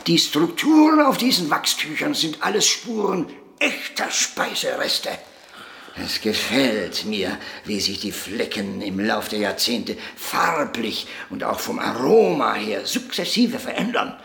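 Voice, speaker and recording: An actor playing an eccentric, boastful, cantankerous old avant-garde puppeteer in a radio drama, lecturing grandly and theatrically. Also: male voice, medium pitch, animated, a little slow, gravelly, sharp tone, exaggerated voice. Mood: proud, reminiscing. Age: elderly. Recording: echoey room.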